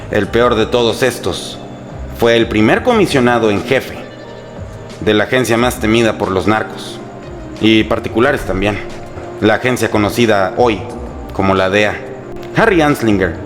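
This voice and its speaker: A Mexican man with a fairly low voice tells history as a scripted, humorous narration. His delivery is irreverent and colloquial, with a sarcastic edge. Music plays faintly behind him. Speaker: host and narrator. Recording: music bed under the voice. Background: background music.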